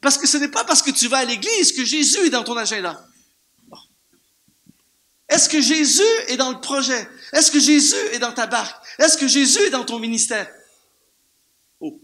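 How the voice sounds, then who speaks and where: A man with a Canadian French accent preaching an animated sermon to a church congregation, firing off rhetorical questions and repeating words for emphasis. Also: very high voice, normal speed, pauses often. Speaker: guest preacher. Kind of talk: lively sermon.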